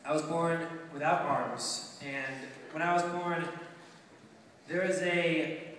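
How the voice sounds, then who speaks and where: A man's voice: on the high side, steady tone, fairly bright tone, far from the mic, slight background noise. Young man of nineteen giving a heartfelt testimony with stumbles, recorded from afar in a very echoey hall. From Romania. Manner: earnest, halting, many ums and restarts. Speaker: speaker telling his adoption story. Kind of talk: testimony speech to an audience. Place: large hall with an audience.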